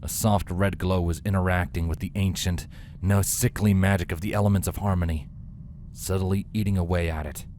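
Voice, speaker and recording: A man's voice: low voice, fairly even intonation, normal speed, darkish tone, slight background noise. A man reading a scripted fantasy story aloud as a dramatic narrator. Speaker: fan fiction narrator.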